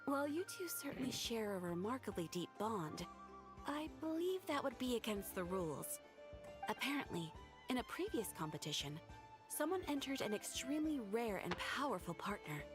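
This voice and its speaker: A woman's voice: a little high, very animated, normal speed. A woman voicing a polite, formal female guild receptionist who explains things helpfully in video game dialogue. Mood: courteous. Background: game music.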